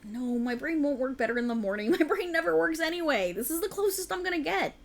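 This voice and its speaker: A woman's voice: high-pitched, expressive, fast talker. A woman acting a sleep-deprived, stubborn writer girlfriend in a conversational ASMR roleplay, with a teasing, dramatic, bantering manner. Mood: stubborn, defensive.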